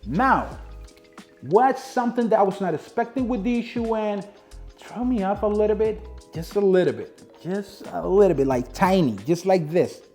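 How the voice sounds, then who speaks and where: A man's voice: sits high, animated, moderate pace, darkish tone, faint music behind. A young man from the Dominican Republic, a native Spanish speaker with a Dominican accent, reviewing sneakers in a casual talk to camera and repeating his points for emphasis.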